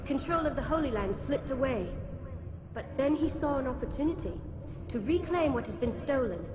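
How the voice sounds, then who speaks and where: A woman playing a composed, defiant Templar in a video game, speaking deliberately in an echoing space with music behind. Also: high voice, animated. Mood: coolly defiant. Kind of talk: dramatic video game dialogue.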